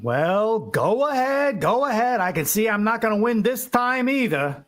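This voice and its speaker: A man's voice: sits high, very animated, unhurried, fairly bright tone, exaggerated voice. A man playing a tired, home-loving working husband in a vintage-style radio drama, complaining in plain colloquial speech. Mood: weary, grumbling.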